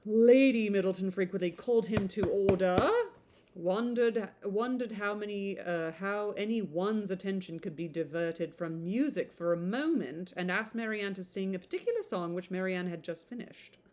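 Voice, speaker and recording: A woman reading a classic novel aloud in an informal, expressive way, stumbling over some words and adding chatty asides. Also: mid-range voice, moderate pace.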